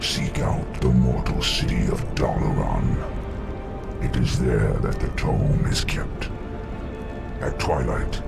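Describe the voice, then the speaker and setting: An actor playing a haughty, commanding demon lord in a fantasy game, with a growling, gravelly voice and pompous delivery. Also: male voice, rumbling low, slow pace, loud music behind the voice, full character voice. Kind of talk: fantasy game cutscene acting.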